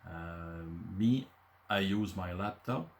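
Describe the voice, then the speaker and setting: Low slow quebecois french accent guy, 50s, native french speaker, lots of uhs. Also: a little hiss or hum. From Quebec City, Canada. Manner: halting, many filler uhs. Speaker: channel host. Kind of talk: casual talk from bullet points.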